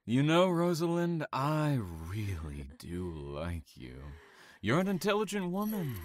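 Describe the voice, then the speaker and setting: A man playing a menacing, gloating serial killer in an audio drama, taunting and theatrical, moving between soft cruelty and explosive temper. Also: fairly high pitch, huge pitch swings, measured pace, no room sound. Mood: mock tender.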